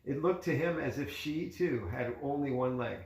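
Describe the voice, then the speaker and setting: A man reads a children's storybook aloud in a roomy, echoing space. His pitch sits in the middle range, and he speaks conversationally, moving between the tale and casual asides to a child about the pictures. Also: moderate pace, a little mellow. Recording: echoey room.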